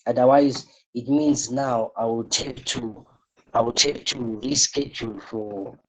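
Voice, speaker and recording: A man's voice: mid-range voice, fairly even intonation, measured pace, rough recording. A tired man, coaching a live online class and repeating his points with rhetorical questions. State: tired, voice strained.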